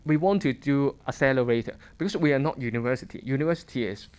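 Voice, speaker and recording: A man with a Hong Kong accent lectures a room of students in fairly smooth, resonant tones. His English is plain and conversational, broken by restarts and short chuckles as he works through his points. He sounds like someone in his thirties speaking off the cuff. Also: mid-range voice, fast, a little mellow. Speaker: fund manager giving a seminar talk.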